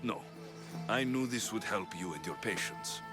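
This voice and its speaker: Actor as expressive, determined young Spartan mercenary. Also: male voice, on the low side, moderate pace, over loud music, close to an everyday voice. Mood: earnest. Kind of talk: cinematic video game acting.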